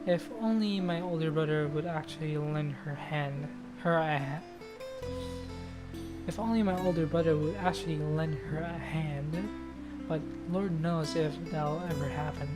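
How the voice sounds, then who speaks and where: A woman's voice: deep voice, a little slow. A woman game streamer reading a visual novel aloud in a casual, sweary manner, with guitar music behind her. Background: loud guitar music.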